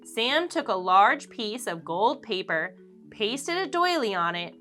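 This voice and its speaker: A woman, a children's teacher, reading a picture book aloud in an animated, expressive storytelling manner. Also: fairly high pitch, slow pace, soft background music.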